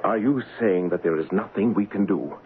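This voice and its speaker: An actor playing an earnest, courteous gentleman posing as a king, in formal 1970s radio drama style. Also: low voice, normal speed, natural voice. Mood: worried. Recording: muffled 1970s radio broadcast, loud noise.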